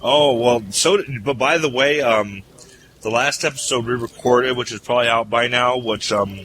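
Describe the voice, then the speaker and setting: A man's voice: medium pitch, moderate pace, a bit bright. A man hosting a casual podcast panel chat, loose and jokey, laughing often. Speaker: podcast host.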